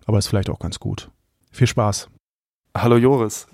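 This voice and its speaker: A man's voice: fairly low pitch, normal speed, creaky, darkish tone, dry recording, clean studio sound. A man hosting a podcast, introducing and interviewing a guest in a friendly, conversational way. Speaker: podcast host.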